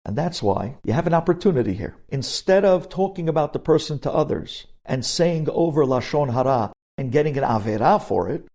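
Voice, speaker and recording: A man's voice: a little high, animated, a little fast, few pauses, a little mellow. A man speaking as a rabbi giving a lesson on religious law, emphatic, repeating key phrases and posing rhetorical questions.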